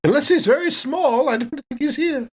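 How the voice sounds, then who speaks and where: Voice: high voice, normal speed, natural voice. A performer voicing a polite, blithely chatty passenger on a spaceship, genteel and oblivious to danger. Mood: blithe, cheerful.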